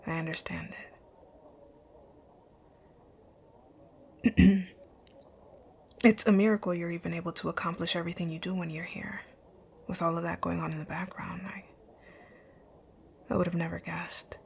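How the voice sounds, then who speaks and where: A woman acts out a wealthy, dominant female boss in an intimate ASMR roleplay. Her voice is on the low side, husky and breathy, with a creaky fry. She is curt and commanding, often dropping close to a whisper. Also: full character voice. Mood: softening, concerned. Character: rich, rude, dominant female boss, stern but secretly caring. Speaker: audio roleplay voice actor.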